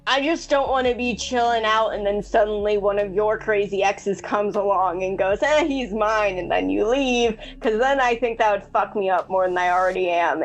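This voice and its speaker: A woman with a mid-pitched voice talks over music. She is improvising in a tabletop game, blunt and colloquial, chatting loosely as she goes. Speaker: tabletop roleplay player. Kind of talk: casual improvised roleplay chat. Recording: music playing under the voice.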